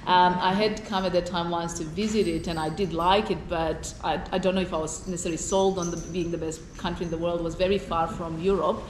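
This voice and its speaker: A woman with a Romanian accent telling her own story informally on a live panel, halting and repeating words as she goes. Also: on the low side, fairly even intonation. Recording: echoey room with clear background noise.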